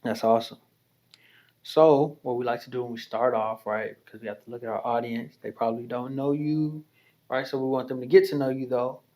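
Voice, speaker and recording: A man's voice: mid-range voice, steady tone, a little fast, a little mellow. A man hosting a podcast, leading an interview in a chatty, encouraging way, often adding "right?" and "you know". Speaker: podcast host.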